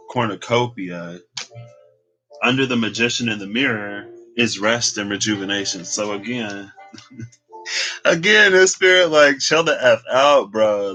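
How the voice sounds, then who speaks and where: A young man in his late twenties talks through a card reading in a candid, reflective way, laughing at himself now and then. His voice sits a little low but sounds bright and forward. Soft music plays faintly underneath. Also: low-quality recording. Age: 20s. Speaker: tarot reader. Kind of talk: casual spoken card reading.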